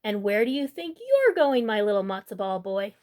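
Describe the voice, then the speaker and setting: A woman's voice: medium pitch, very animated, normal speed, a little mellow, lightly acted. A woman voicing a fretful old village grandmother in a storybook, exclaiming and scolding. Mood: surprised, scolding.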